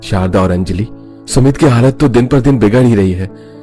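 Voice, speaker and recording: A man's voice: medium pitch, moderate pace, dark tone, lightly acted. A man voicing an anxious, pleading older father in a cartoon horror story. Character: worried older father of a village family. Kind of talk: Hindi animated story dub. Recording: music under the voice.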